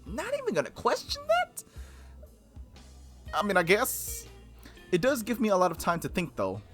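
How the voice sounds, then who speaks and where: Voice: mid-range voice, huge pitch swings, moderate pace. A let's play streamer reading a visual novel aloud, with casual, jokey asides and stumbles over words. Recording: music behind the voice. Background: background music.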